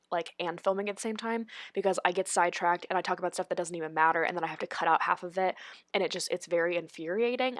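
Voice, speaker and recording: A woman's voice: lowish voice, no echo, studio quality. A young woman with a stuffy, congested voice from a cold, talking casually through a makeup tutorial voice-over, full of "like" and "honestly". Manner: chatty, lots of "like" and "honestly". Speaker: beauty vlogger. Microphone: voice-over recorded separately.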